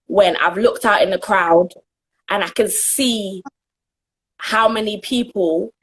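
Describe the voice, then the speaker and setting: A woman's voice: mid-range voice, takes it slowly, slightly rough, poor audio. A young woman from Jamaica, a guest in a casual interview, answering earnestly with frequent laughs and restarts. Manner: earnest and giggly, trails off and restarts.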